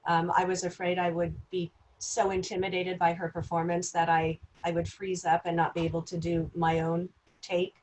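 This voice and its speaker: A woman answering as an actress on a panel, unscripted, in a reflective and halting way. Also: on the low side, monotone, a little mellow, poor audio.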